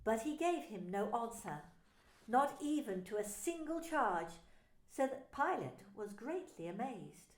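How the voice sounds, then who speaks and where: A woman's voice: fairly high pitch, big pitch swings, measured pace. A woman minister narrating a dramatised scripture story, telling it expressively. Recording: roomy echo.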